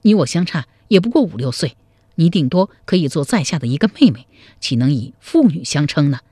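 A woman voicing a modest, steady young male hero in a storytelling radio serial, speaking firmly and in a measured way. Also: husky, no echo, clean studio sound, exaggerated voice. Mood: protesting. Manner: firm, measured and low.

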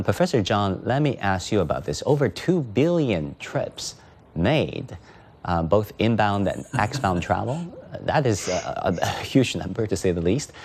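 A male news host with a very low voice introduces his guests briskly. He asks questions in a measured way, with occasional fillers. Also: darkish tone, breathy edge. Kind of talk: live news interview hosting.

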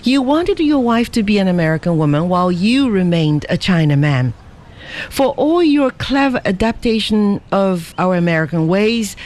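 A man's voice: very high voice, darkish tone, pitched above the performer's own voice, exaggerated voice. A man reading a storybook aloud, voicing an outspoken, indignant young American woman who scolds at length. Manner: scolding, emphatic.

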